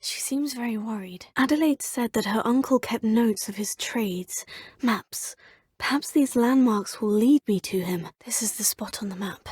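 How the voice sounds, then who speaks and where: A woman's voice: on the high side, moderate pace, a little mellow, dry recording, clean studio sound. A polite student witch. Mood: poised. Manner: polite, proper, crisp phrasing. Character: young female student witch, polite and composed. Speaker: player character. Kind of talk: video game dialogue.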